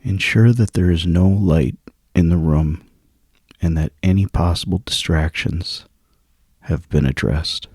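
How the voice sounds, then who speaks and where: Slow, low, gravelly man guiding meditation. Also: no room sound, studio quality. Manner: soothing, hushed, measured with long pauses. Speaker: meditation guide. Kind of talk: guided sleep meditation narration.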